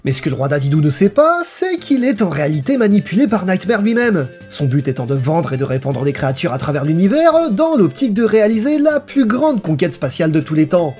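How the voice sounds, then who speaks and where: A man's voice: sits high, big pitch swings, a little fast, few pauses, darkish tone. A man reading a scripted video essay in a playful, theatrical storytelling manner. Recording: voice-over with music behind. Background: background music.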